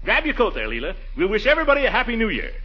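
An actor playing a jovial, blustering small-town American bachelor in 1940s radio comedy, hearty and pompous. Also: male voice, sits high, huge pitch swings, racing, husky, heavily acted. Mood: boisterous. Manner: pompous, hearty, mock-grand declaiming and chuckling. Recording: muffled, noisy 1940s radio recording.

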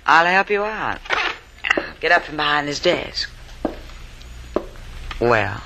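An actress playing a flirtatious femme fatale, coy and theatrical, in a vintage radio drama. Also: female voice, low-pitched, very animated, takes it slowly, noisy background, heavily acted. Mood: coy, gushing. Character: flirtatious, sultry femme fatale running a lonely hearts club. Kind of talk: 1950s radio drama acting.

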